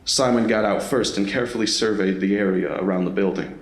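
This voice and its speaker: Male narrator for a scripted audio drama, lower register, roomy echoing recording. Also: steady tone, brisk.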